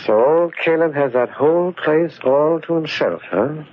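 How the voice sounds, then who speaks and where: Actor as a calm, bold radio detective, muffled vintage recording. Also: male voice, a little high, a little hiss or hum, natural voice. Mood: probing, wry. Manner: measured, unflappable, urbane. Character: calm, bold, cerebral amateur detective man. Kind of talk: old-time radio mystery acting. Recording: dull vintage radio recording, loud music behind.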